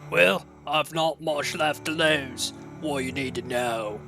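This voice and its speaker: Man acting overworked blacksmith, very sharp gravelly voice, blunt, grumbling, fantasy audio drama. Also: fairly high pitch, slow, faint music behind, full character voice. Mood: weary, grumbling. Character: weary, disgruntled overworked male blacksmith, gruff and plain-spoken.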